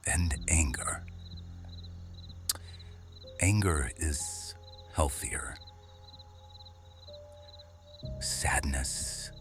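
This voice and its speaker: A man playing a quiet, watchful serpent shifter guardian in an intimate ASMR roleplay, hushed and deliberate, often slipping into whispers. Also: extremely low pitch, heavily acted. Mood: hushed, brooding.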